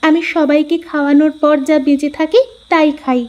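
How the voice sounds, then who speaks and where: A woman's voice: sits high, flat delivery, fairly quick, a little mellow, close to an everyday voice. A woman voicing a dutiful, proud young village daughter-in-law in a cartoon story, on a dull recording. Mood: gentle. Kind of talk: Bengali cartoon dialogue.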